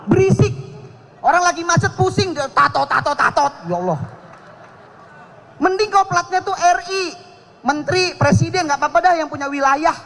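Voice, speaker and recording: A man's voice: extremely high pitch, animated, normal speed, long pauses, fairly bright tone. A man doing a stand-up comedy set on a live contest stage, cocky and teasing, with audience laughter behind him. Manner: cocky and teasing, slips into regional voices.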